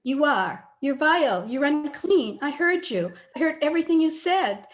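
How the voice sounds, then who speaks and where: An actress playing a wounded, bitter young daughter in an old-time radio melodrama, dramatic in manner. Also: female voice, sits high, lively intonation, normal speed. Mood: bitter, anguished. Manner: accusing, dramatic.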